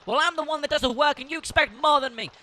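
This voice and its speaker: Very high, bright, sharp sibling acted by a man. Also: fast. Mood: accusing. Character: sharp, hard-working sibling in charge of farmhouse. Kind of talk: amateur audio drama acting.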